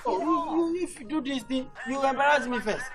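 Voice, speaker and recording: A man's voice: extremely high pitch, a little fast, gravelly, music in the background. A man acting a desperate, blustering boyfriend in comic melodrama, with a West African accent and theatrical, repetitive pleading. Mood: begging.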